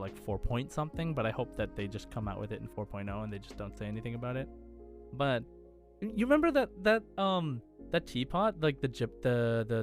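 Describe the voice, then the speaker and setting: Man, mid-range voice, casual gaming chat, enthusiastic and wordy, music underneath. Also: medium pitch. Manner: rambling, enthusiastic. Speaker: podcast co-host and game streamer.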